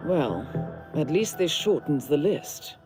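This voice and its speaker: Game handler: shrewd, composed, measured delivery with animated intonation, loud score behind. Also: female voice, sits low, over loud music, heavily acted. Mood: intrigued. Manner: measured, formal, clipped. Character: composed, shrewd female assassin agency handler. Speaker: intelligence handler. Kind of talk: scripted mission briefing, game acting.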